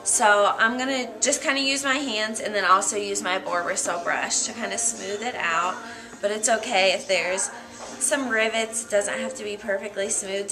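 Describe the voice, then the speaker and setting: A young American woman giving a casual how-to demonstration as a hair stylist, in an informal, loosely phrased, easygoing manner. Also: medium pitch. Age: young adult. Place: hair salon, indoors. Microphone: camera mic a short distance away. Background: music.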